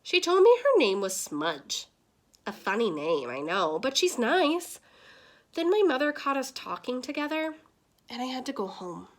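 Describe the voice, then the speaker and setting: A woman's voice: high voice, sing-song, a little slow, exaggerated voice. A woman reading as a lonely, shy young boy in a storybook, with a wistful, simple manner. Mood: shyly pleased. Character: lonely, bored, shy young son of a posh mother.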